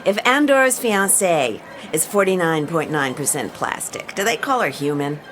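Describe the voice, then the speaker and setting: An actress playing a tough, sardonic heroine in a comic science-fiction radio play, dry, blunt and needling. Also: female voice, on the low side, lively intonation, moderate pace, a bit bright, a little hiss or hum. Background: music.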